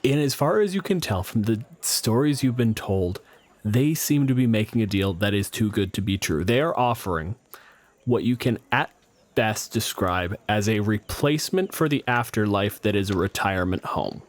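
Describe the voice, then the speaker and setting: A man's voice: lowish voice, animated, moderate pace. A man narrating a tabletop game as game master in a casual, improvised, wry and conversational way, with some swearing.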